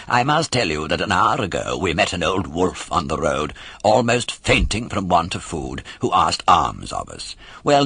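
A man's voice: low voice, sharp tone, breathy edge, dry recording, heavily acted. Man putting on a sly, drawling fox swindler. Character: sly fox swindler feigning sympathy and virtue.

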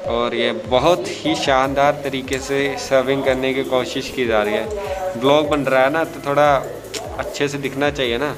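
A man's voice: medium pitch, fairly even intonation, moderate pace, music in the background. A man, chatting casually and teasing his companion as he films a food vlog in a small restaurant. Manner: chatty, teasing, mixes a little English. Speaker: food vlogger.

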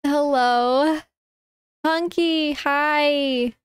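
A young woman on a live stream with a high, clean voice that sounds a little stuffy and congested. She talks slowly and chattily, greeting viewers by name and stumbling over her words. The recording is dry and close. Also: steady tone, dry recording. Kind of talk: casual stream chat.

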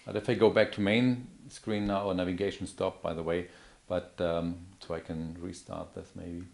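Male demonstrator, deep voice, explaining a product off the cuff, hesitant and step by step. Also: level tone, moderate pace, vocal fry, a little mellow. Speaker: product demonstrator, account manager. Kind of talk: unscripted product demo. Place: indoor office or showroom.

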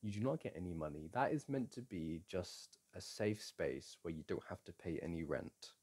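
A man playing a playful boyfriend in an intimate audio roleplay, chatting casually, teasing and coaxing the listener in a gentle, halting way. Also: low-pitched, expressive, husky. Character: playful, teasing, soothing boyfriend at a board game.

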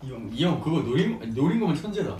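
A man's voice: on the high side, very animated, fast talker, darkish tone. A young man, an idol group member, casually reading out and answering fan comments while eating with bandmates. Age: young adult. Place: small indoor office room, seated at a table. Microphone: fixed camera across the table. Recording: roomy, distant mic with faint hiss.

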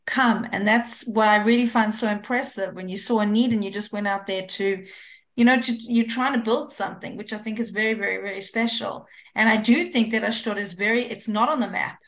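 A woman's voice: medium pitch, fairly even intonation, brisk, a bit bright. Earnest woman hosting a video call. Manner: earnest, encouraging. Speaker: host. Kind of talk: casual chat.

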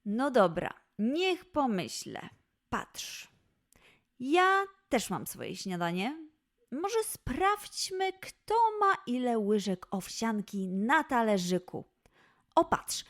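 A woman's voice: on the high side, big pitch swings, slow. A woman voicing a playful, encouraging mother lioness who coaxes with game-like challenges in a children's audio play.

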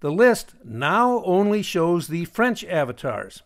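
A man with a high-pitched voice hosts a software tutorial voice-over. He explains each step in a deliberate way and puts pointed emphasis on what matters. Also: big pitch swings. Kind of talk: screencast tutorial narration. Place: voice-over for a screen recording.

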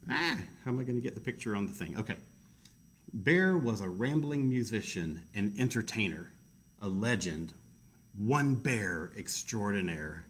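Husky, low-voiced man hosting children's storytime. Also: expressive, moderate pace, fairly bright tone. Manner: chatty, self-deprecating asides. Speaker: library storytime reader. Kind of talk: casual storytime read-aloud for children.

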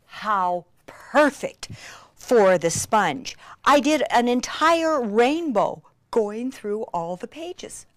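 A woman presenting a craft demonstration in an excitable, gushing way, with emphatic drops into a breathy near-whisper. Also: fairly high pitch, no echo.

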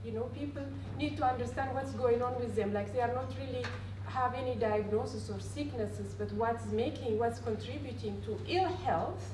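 A woman's voice: fairly high pitch, moderate pace, few pauses. Woman panelist in a roomy, noisy recording. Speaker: panel speaker on community health. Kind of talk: panel presentation, explaining with examples. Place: conference room panel table, indoors. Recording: roomy, distant mic, clear background noise.

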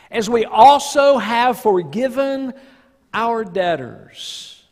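A man in his fifties preaching a church sermon as a pastor, earnest and emphatic, with deliberate, drawn-out phrases in a reverberant church hall. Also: sits high. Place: large church sanctuary stage, indoors.

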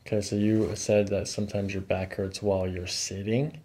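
An American man in his thirties working as a chiropractor, calmly explaining a hands-on exam and asking short check-in questions. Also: lowish voice, moderate pace. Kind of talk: hands-on clinical exam narration, ASMR. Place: small carpeted clinic office, indoors.

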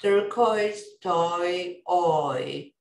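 Woman, Bosnian native speaker, hesitant careful repetition, roomy echo, very slow. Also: on the low side. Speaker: learner repeating drills. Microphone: webcam on a video call. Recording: echoey room on a video call.

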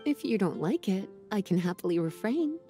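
A woman voicing a languid, sultry witch-librarian in a game, teasing and unhurried. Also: medium pitch, very animated, mellow, faint music behind, natural voice. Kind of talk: game voice acting.

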